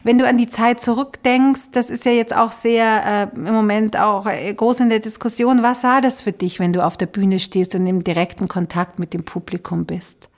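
A woman's voice: fairly high pitch, flat delivery, moderate pace, hardly any pauses. A woman hosting a podcast interview, thinking aloud and searching for her words in a conversational way. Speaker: podcast host.

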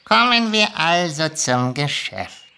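An actor doing a grumpy magical kobold from a storybook. The voice is sharp and forceful, and the pitch swings up and down. He haggles with sly nonchalance and is quick to get irritated. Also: very animated, creaky, clear, resonant tone, full character voice. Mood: sly, lecturing. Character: grumpy, sly male kobold dealmaker, ancient magical creature. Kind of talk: lively audiobook character reading.

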